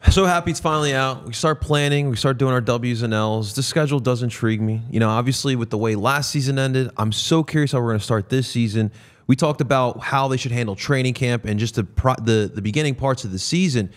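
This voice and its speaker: Male co-host with an average-pitched voice for informal, conversational sports chat. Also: brisk.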